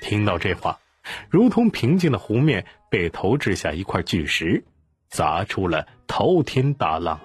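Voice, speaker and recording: A man narrating an audiobook in an expressive storytelling style. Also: fairly low pitch, unhurried, breathy edge, no room sound, soft background music.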